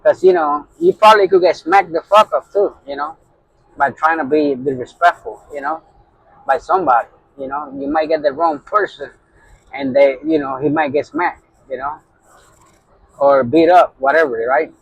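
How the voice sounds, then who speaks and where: A man's voice: fairly high pitch, big pitch swings, normal speed, gravelly, slightly rough, no echo, low-quality recording. A middle-aged man with a Puerto Rican accent, a truck driver telling a heated story in a casual vlog, with constant fillers. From New York. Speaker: owner-operator truck driver.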